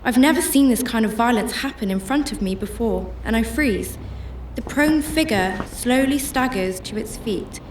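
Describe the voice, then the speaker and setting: A woman performs an anxious, tender heroine telling her own story in a radio play. Her voice is a little high and earnest, set back from the mic in an echoey space. Music plays underneath. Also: lively intonation, normal speed, slight background noise, natural voice. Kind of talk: first-person radio drama narration. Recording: echoey, distant-sounding with music bed.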